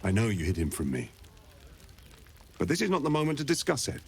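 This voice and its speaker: A man playing a grave, weary monster hunter in a video game, measured and guarded. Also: mid-range voice, wildly swooping pitch, gravelly, husky, heavily acted. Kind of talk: video game character acting.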